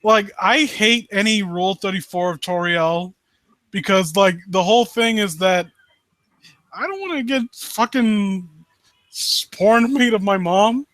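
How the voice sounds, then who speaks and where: Blunt man with a higher-pitched voice. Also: unhurried, fairly bright tone, dry recording. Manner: blunt, profane. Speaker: host of a live critique stream. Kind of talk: casual chat.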